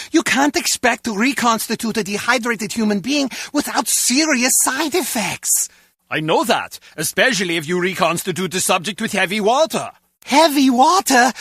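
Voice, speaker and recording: Exasperated male scientist for a game: high, sharp voice, sarcastic, testy delivery. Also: no room sound, studio quality, full character voice. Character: exasperated male scientist bickering with his colleague. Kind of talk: animated video game acting.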